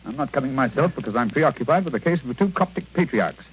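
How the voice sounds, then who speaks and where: Casting: superior, wry British sleuth in vintage radio drama; rapid, clipped delivery on muffled, hissy recording. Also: male voice, medium pitch, animated, slightly rough. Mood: curt, dismissive. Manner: clipped, dry, impatient, wryly amused. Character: brisk, sardonic, coolly superior gentleman detective. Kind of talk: mid-century radio drama acting. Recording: dull, noisy old radio recording.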